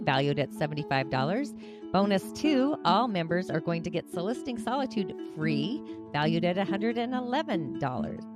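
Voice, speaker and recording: Female host, deep voice, steady upbeat promo read, loud plucked guitar underneath. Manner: listing offers in an upbeat, even cadence. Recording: loud guitar music bed. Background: loud plucked guitar music.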